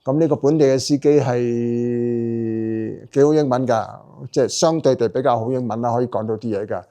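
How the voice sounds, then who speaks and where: Easygoing male travel vlogger, native Cantonese speaker, mid-pitched voice, chatty with filler pauses. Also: mellow. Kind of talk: casual travel narration.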